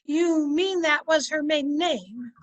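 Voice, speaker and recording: A woman's voice: high-pitched, measured pace, muffled recording. A woman playing a patient, prim and practical wife in old-time radio comedy style, politely correcting and pleading.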